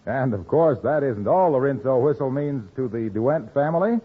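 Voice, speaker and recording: A man's voice: medium pitch, animated, moderate pace, slightly rough. A man working as a 1950s radio announcer, with polished, emphatic sales delivery. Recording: tinny old radio recording.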